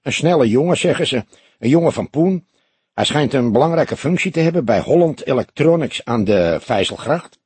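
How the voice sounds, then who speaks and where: A man's voice: mid-range voice, expressive, normal speed, a little mellow, breathy edge, no echo, pitched above the performer's own voice. A man voicing a small, streetwise bar owner who confides like an obliging informant in an audiobook. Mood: gossipy. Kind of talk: audiobook character dialogue.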